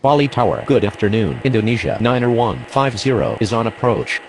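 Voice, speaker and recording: A man acts a male controller or pilot in a game. His mid-pitched voice is creaky. He gives set phraseology with noise in the background. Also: a little fast, natural voice. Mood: procedural. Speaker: air traffic radio voice in a game. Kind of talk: scripted air traffic control phraseology.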